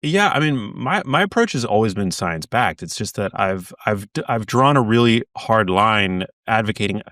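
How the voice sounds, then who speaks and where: Earnest man guest with a lower voice. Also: animated, moderate pace, a bit bright, no room sound, studio quality, recorded from a distance. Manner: earnest, talks in bursts with many restarts. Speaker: guest author. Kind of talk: casual interview chat.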